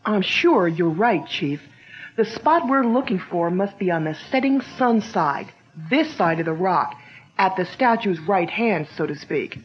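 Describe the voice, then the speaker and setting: A woman voices an eager young companion who chimes in quickly with ideas. Her voice swings widely in pitch. The sound is muffled and lo-fi, like an old broadcast. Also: lively intonation, slight background noise, close to an everyday voice. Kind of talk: 1940s radio drama acting. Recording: dull lo-fi old radio sound.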